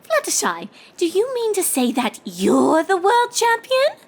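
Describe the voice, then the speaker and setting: A refined, dramatic cartoon unicorn lady. The high voice swoops extravagantly, with genteel and teasing theatrical delivery. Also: huge pitch swings, measured pace, full character voice. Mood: admiring, gushing. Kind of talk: character voice in fan fiction reading.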